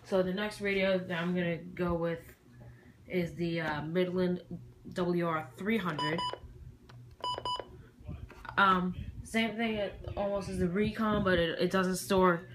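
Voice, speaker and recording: A hobbyist presenter with a feminine-sounding voice giving a casual, halting, rambling show-and-tell in a noisy amateur home recording. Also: mid-range voice, fairly even intonation.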